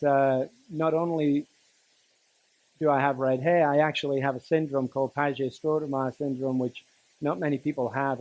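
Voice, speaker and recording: A middle-aged man from England, giving a personal talk to students in a conversational, halting way with dry humour. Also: fairly high pitch, poor audio. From Newcastle, northern England. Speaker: teacher and coach giving a speech to students. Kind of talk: personal motivational talk, storytelling.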